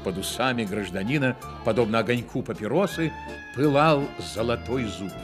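A man narrating a literary radio play as a deliberate, expressive storyteller. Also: medium pitch. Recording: studio radio play with music.

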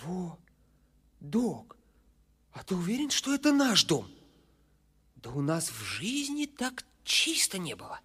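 An actor playing an excitable, good-natured dwarf leader in a cartoon, with a husky voice. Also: male voice, high voice, slow, long pauses, heavily acted. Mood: curious, puzzled. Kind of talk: cartoon voice acting.

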